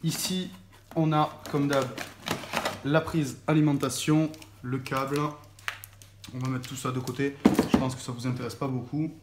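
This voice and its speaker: A man's voice: medium pitch, flat delivery, moderate pace. A young man chatting casually as he unboxes a laptop, hesitant and full of fillers and restarts. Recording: echoey room with faint noise.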